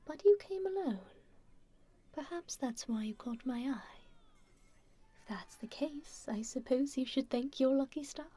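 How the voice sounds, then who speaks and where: A woman playing a kind, teasing stranger in an intimate ASMR roleplay, speaking gently and unhurriedly in a breathy, whispery voice. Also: high-pitched, unhurried. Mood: wistful, curious. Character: kind, teasing female stranger caring for a festival-goer.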